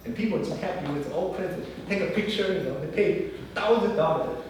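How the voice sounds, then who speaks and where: A man's voice: high-pitched, a little fast. A man whose first language is not English, giving a business talk and acting out the people in his stories. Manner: animated storyteller acting out dialogue. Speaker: guest speaker. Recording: echoey room, mic far off, faint noise.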